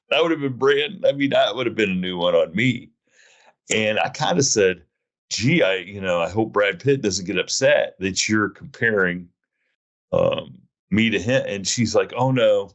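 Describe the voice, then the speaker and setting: A man hosting a podcast, telling a long story in a casual, rambling, self-mocking way. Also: on the low side. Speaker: podcast host. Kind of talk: casual chat.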